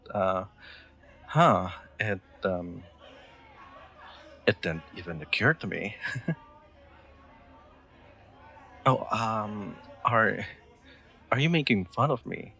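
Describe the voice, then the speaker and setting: Man acting an awkward, low-voiced young knight. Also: creaky, sharp tone, exaggerated voice. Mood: flustered, sheepish. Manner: hesitant, stammering, nervous laughs, formal courtly wording. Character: awkward, sweet, oblivious young male royal knight. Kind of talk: intimate scripted roleplay audio drama.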